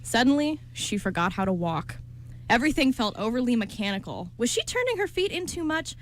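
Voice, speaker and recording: A woman with a slightly high voice reads scripted narration. She serves as the narrator of a radio drama. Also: big pitch swings, brisk.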